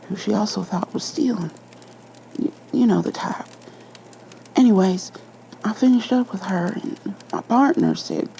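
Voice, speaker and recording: A woman playing a nervous, shaken police officer who confesses haltingly and breathily in a horror story reading. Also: mid-range voice, creaky, warm tone, a little hiss or hum, pitched above the performer's own voice, low-quality recording.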